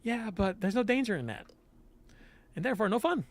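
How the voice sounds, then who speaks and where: A man voicing an excitable, childlike demon dog girl from a game, eager, mischievous and gushing. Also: high voice, a little fast, no echo, pitched above the performer's own voice. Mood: playful.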